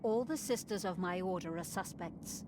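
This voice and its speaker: A woman's voice: fairly low pitch, normal speed, background noise, natural voice. A woman voicing a resolute, caring abbess in a video game, speaking with grave authority. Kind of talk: scripted video game voice acting.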